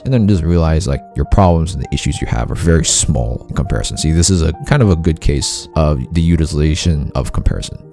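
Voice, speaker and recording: A man's voice: deep voice, dark tone. A young man talking through his thoughts on happiness and self-improvement in a rambling, self-deprecating way, often laughing at himself. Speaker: vlogger. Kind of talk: casual self-help monologue. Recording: faint guitar music behind.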